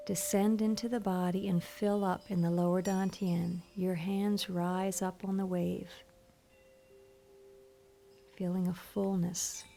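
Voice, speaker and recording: Female meditation instructor, soothing and gentle, very relaxed dark tone, taking her time over each instruction. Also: mid-range voice, vocal fry. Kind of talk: guided meditation narration. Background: soft meditation music with chimes.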